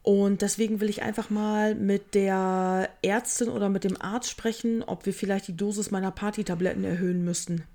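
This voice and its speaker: A woman's voice: medium pitch, fairly even intonation, normal speed, hardly any pauses, warm tone. A woman co-hosting a podcast, chatting casually and joking about herself. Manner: joking, chatty, self-mocking. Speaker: podcast co-host. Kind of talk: casual chat.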